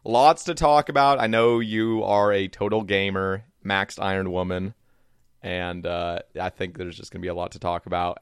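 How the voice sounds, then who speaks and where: A man's voice: deep voice, smooth, no echo, studio quality. A man hosting a podcast, chatting casually as he interviews a guest. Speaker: podcast host.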